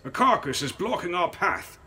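A man's voice: high-pitched, very animated, moderate pace, sharp tone, slightly rough, pitched above the performer's own voice, full character voice. A man playing a portly, deferential, bewildered Victorian coachman calling out in a gothic story. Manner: raised, effortful calling out.

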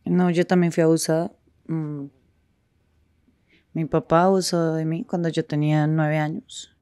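A woman speaking frankly in a street interview, with halting pauses and restarts. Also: low voice, flat delivery, mellow, smooth, dry recording, studio quality.

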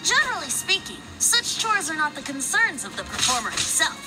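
A woman's voice: high-pitched, very animated, a little fast, loud music behind the voice, rough recording. Lilting, carefree boy bard. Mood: theatrical. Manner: flowery, airy, self-important. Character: carefree young male bard, playful and theatrical. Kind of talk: anime video game voice acting.

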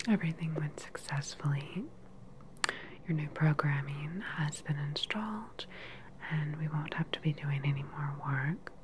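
A woman in her thirties playing a calm, clinical evil scientist in a whispered sci-fi ASMR roleplay, breathy and measured, close to the microphone. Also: low-pitched, noisy background. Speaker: ASMR performer. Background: sci-fi lab ambience.

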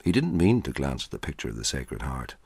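A man reading a short story aloud in a measured, quiet storytelling voice. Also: big pitch swings, slightly rough, no echo, clean studio sound. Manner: measured, quiet storytelling, dropping low for dialogue. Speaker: audiobook narrator. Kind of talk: scripted narration of a short story.

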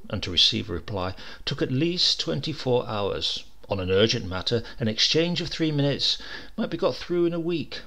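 A man's voice: mid-range voice, creaky. A man narrating a comic short story in a dry, wry storytelling manner. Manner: dry, wry storytelling with lively voiced dialogue.

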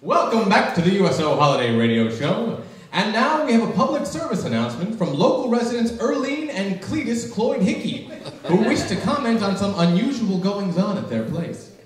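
Theatrical young male radio announcer, lively pitch. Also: normal speed. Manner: theatrical, salesman-like delivery. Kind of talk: mock old-time radio announcer and ad read. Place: small library room, live performance. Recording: very echoey room, distant mic, music.

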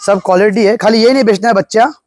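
A man's voice: high voice, quick pace, darkish tone, dry recording. A man eagerly showing off and pricing goats in an outdoor farm pen, recorded on a nearby phone. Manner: pointing out features, eager selling. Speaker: goat farm seller. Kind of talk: casual sales walkthrough.

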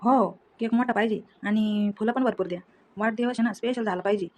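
A woman's voice: mid-range voice, fast talker, darkish tone, natural voice. A woman playing an eager, insistent young man in a family comedy drama, speaking with a Maharashtrian Marathi accent. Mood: brisk, insistent. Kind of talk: acted family comedy dialogue.

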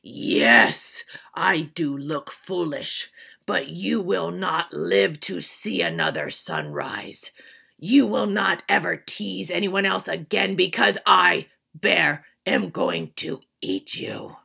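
A woman voicing a huge, grumpy male bear with a low, gravelly growl. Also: sing-song, slow pace, pushed and bright, heavily acted. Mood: menacing.

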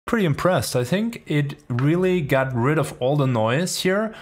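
A man's voice: fairly high pitch, animated, unhurried. A man in his thirties with a German accent, explaining music production steps in a casual, rambling way and filling gaps with "like". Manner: chatty, rambling, lots of "like" fillers. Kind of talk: casual screen-recorded tutorial. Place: home studio room. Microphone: studio microphone near the face.

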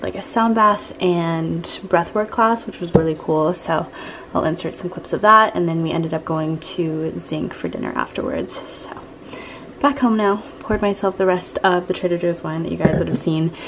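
A woman's voice: lowish voice, a little hiss or hum, rough recording. A woman in her twenties talking casually to a handheld camera in her bedroom, with lots of "like" fillers. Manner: chatty, relaxed, many "like" fillers. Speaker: lifestyle vlogger. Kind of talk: casual vlog talk to camera. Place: bedroom at home, indoors. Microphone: handheld camera at arm's length.

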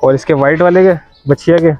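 A man's voice: a little high, fairly even intonation, quick pace, dark tone, dull audio, soft background music. A man vlogging at an outdoor cattle pen, chatting and bargaining over prices with a seller. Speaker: vlogger touring a cattle market. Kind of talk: casual walk-around haggling chat.

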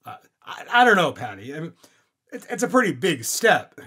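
A man's voice: a little high, very animated, normal speed, pushed and bright, slightly rough, full character voice. A man playing a suave, cocky CIA agent in an audio drama. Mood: hesitant. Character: suave, cocky male CIA agent romancing his mistress.

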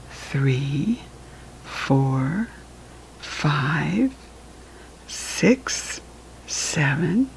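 An American woman reading a counting book aloud to young children as a teacher, in an encouraging, sing-song, deliberate way. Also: on the low side, husky, poor audio.

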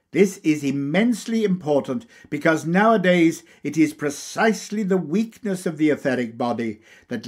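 A man reading a lecture aloud as scripted narration, in a measured, deliberate, explanatory manner. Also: on the high side, husky. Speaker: audiobook reader.

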